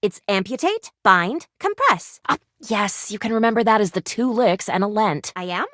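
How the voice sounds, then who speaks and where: A woman's voice: mid-range voice, animated, normal speed, fairly bright tone, no echo, studio quality, natural voice. A woman voicing an eager, theatrical first-aid kit in a video game, prim and chirpy with old-fashioned, formal phrasing. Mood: studious, perky. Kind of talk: video game character voice acting.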